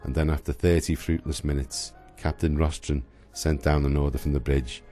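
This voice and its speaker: A man's voice: extremely low pitch, steady tone, moderate pace, warm tone, soft background music. A man giving dramatic scripted narration for a historical radio play.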